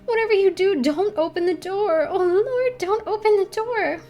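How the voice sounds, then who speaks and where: Woman doing a shrill, panicky little ballet girl. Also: moderate pace, a little mellow, faint music behind. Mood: panicked. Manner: wailing, pleading.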